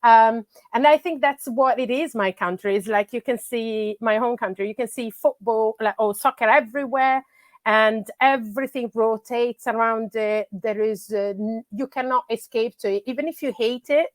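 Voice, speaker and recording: A woman's voice: a little high, normal speed, no room sound. A middle-aged Italian woman, a soccer coach, talking in a podcast interview with many fillers and restarts. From Italy.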